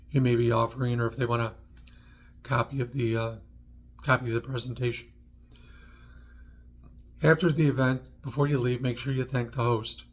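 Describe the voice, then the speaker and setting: An American man from New York speaks as the presenter of an instructional video. His voice sits at a medium pitch. He talks conversationally in short, clipped phrases, dropping in dry asides as he explains. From New York, United States. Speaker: presenter of a how-to video series on public speaking. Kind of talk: instructional talk to camera.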